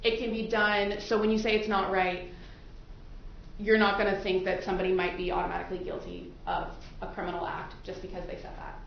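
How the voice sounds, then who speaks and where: A woman's voice rings through a very echoey courtroom, picked up far from the mic. She speaks with a creaky edge as an attorney politely questioning a jury panel. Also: mid-range voice, flat delivery, a little fast. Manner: polite, probing, repeats answers back. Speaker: attorney questioning prospective jurors. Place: large indoor courtroom. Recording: very echoey courtroom, distant mic, muffled, faint noise.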